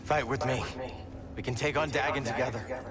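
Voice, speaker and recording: A young Jedi hero acted by a man speaks earnestly in a medium-pitched voice. His delivery is urgent and sincere, as in a game cutscene, with loud music underneath. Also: steady tone, normal speed, lightly acted. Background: loud, ominous music.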